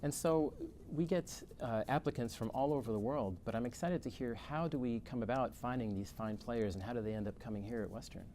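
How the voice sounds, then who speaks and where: A man's voice: mid-range voice, fairly quick, hardly any pauses, dark tone, slight background noise. A man hosting an interview as a music professor. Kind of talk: hosted interview.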